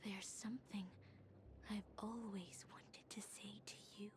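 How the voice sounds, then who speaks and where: A woman's voice: mid-range voice, level tone, a little slow, noisy background, natural voice. An actress playing a bitter young woman full of cold hatred in a dramatic video game scene.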